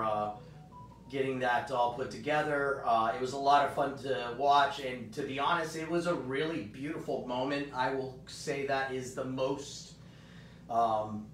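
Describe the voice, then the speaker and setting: A man, host of a live comic shop stream, chatting upbeat and rambling with many fillers, his voice hoarse and partly gone. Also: recorded from a distance. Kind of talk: casual live-stream chat. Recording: roomy, off-mic, faint rumble.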